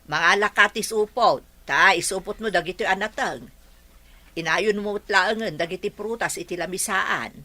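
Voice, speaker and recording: A woman's voice: mid-range voice, moderate pace, sharp tone. A woman playing a bossy, practical mother of a grown son in a radio drama. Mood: bossy, instructing.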